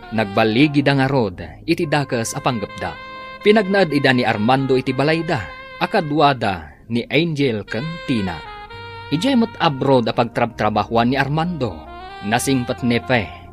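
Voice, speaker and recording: A man giving dramatic scripted narration as a radio serial's announcer. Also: medium pitch.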